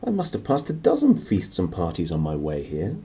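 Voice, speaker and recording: A man's voice: low-pitched, huge pitch swings, fairly quick, warm tone, slightly rough, exaggerated voice. Man voicing a severe, indignant storybook lady professor. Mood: irritated, impatient. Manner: clipped, prim and indignant. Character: severe, impatient older woman professor, easily ruffled. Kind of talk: children's storybook character voice.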